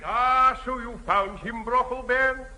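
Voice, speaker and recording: A man's voice: very high voice, steady tone, takes it slowly, bright, forceful tone, exaggerated voice. A man playing a stern, pompous vicar in a 1970 British radio sitcom, questioning suspiciously and humming between remarks. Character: stern, fussy vicar interrogating with suspicious hums. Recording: hissy old radio recording, echoey hall.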